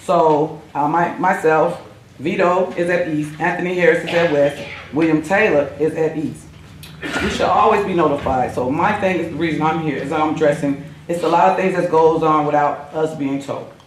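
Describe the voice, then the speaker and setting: A hoarse woman who is losing her voice, speaking plainly as a union steward at a school board meeting, halting now and then with fillers. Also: low voice, slight background noise. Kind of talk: public comment at a board meeting. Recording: echoey room.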